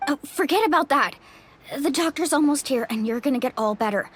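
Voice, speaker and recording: High, animated young female leader. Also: a little fast. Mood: reassuring. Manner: earnest, emphatic. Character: young female warrior leader, caring, earnest, commanding. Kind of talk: anime game voice acting.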